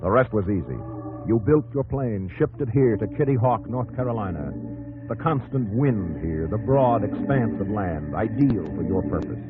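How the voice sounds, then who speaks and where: Deep, solemn American radio narrator actor. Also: male voice, expressive, normal speed, natural voice. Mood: probing. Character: solemn, probing male narrator addressing the hero directly. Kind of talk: dramatic second-person narration, 1950s radio. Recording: muffled old radio broadcast, music underneath.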